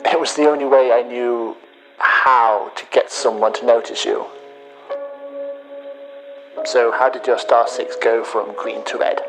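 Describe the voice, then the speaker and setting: Sci-fi audio drama, male hacker, played by an actor: vengeful and accusatory, unhurried, measured delivery over a music bed. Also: mid-range voice, steady tone, natural voice, rough recording. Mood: confessional, deliberate. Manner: deliberate, pausing, accusatory. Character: bitter male hacker confessing revenge to his betrayed friend.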